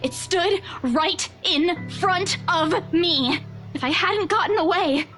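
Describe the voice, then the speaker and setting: A woman's voice: extremely high pitch, takes it slowly, fairly bright tone, faint music behind, exaggerated voice. A woman voicing a frightened young woman who insists she saw something and pleads with her friends to believe her. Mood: insistent. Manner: pleading, defensive.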